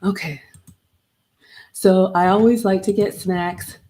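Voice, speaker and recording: A woman's voice: mid-range voice, fairly even intonation, slow pace, dark tone. A middle-aged woman from Ghana, chatting casually with viewers in a livestream as she looks back on a trip and greets people by name. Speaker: vlog host. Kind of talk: casual livestream travel recap.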